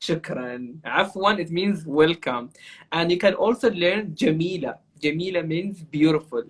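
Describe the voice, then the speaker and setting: A man's voice: a little high, unhurried, breathy edge. A man hosting an interview, upbeat and guiding the segments. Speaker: talk show host.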